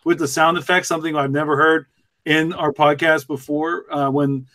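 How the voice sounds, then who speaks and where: A man's voice: fairly high pitch, level tone, moderate pace, pushed and bright. A man on a podcast panel chatting casually in a jokey, rambling, emphatic way. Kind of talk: casual group chat.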